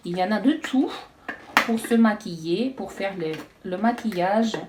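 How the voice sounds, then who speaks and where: A woman chatting casually as she unboxes beauty products, hesitant and halting with frequent restarts. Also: medium pitch, noisy background. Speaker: beauty vlogger.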